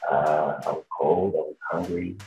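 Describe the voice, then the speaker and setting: Very deep-voiced Brazilian-accented man. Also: fairly even intonation, unhurried, darkish tone, faint music behind. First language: Portuguese. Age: 30s. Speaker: tribute show performer, interviewee. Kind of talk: informal interview answers.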